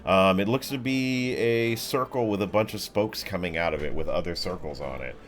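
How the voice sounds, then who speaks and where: A man's voice: sits low, moderate pace. A man narrating an improvised tabletop game as game master in a casual, descriptive way. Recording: music behind the voice.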